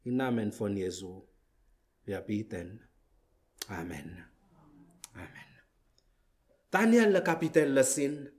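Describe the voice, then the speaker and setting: Man w french accent preaching in german, really slow, lots of ums n restarts, malagasy native. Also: on the low side, very animated. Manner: halting, many fillers and restarts, searches for words. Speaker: visiting preacher. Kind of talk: church greeting and sermon opening. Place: church hall.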